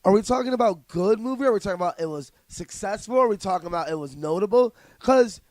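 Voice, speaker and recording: A man with a high voice talks at a quick clip as a podcast co-host. He is blunt and opinionated in casual banter. The recording is dry and close. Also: expressive, darkish tone, no room sound.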